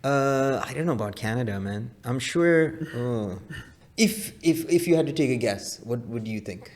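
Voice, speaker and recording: A man of medium pitch co-hosting a comedy podcast, chatting casually, joking along and quick to echo and agree. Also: animated, darkish tone.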